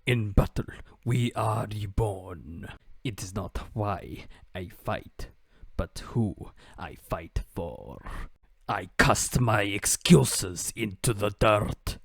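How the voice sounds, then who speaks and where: A man performs game character lines in a theatrical, amateur voice-acting style. His pitch moves around a lot over a slow overall pace, with some gravel in the voice. The recording is dry. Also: expressive, dry recording.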